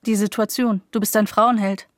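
A woman's voice: medium pitch, steady tone, normal speed, no echo, clean studio sound, natural voice. An actress playing the narrator's mother as a young woman in a radio drama, speaking bluntly in short, pleading lines.

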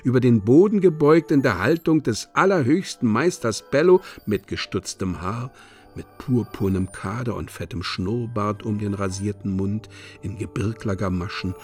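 A man narrating a grotesque radio farce, reading stage directions with deliberate, dramatic relish. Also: on the low side, expressive, soft background music.

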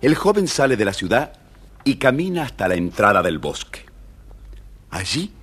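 A man with a husky voice narrating a children's fairy tale dramatically, as a scripted storyteller. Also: mid-range voice, sing-song, normal speed, a bit bright.

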